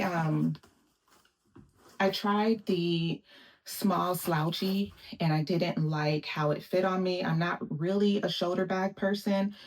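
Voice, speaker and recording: A woman with a low, deep-set voice talks through an unboxing in a relaxed, casual way. She keeps an even, monotone delivery and pauses often with little fillers. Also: low-quality recording. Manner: monotone, self-described. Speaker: vlogger.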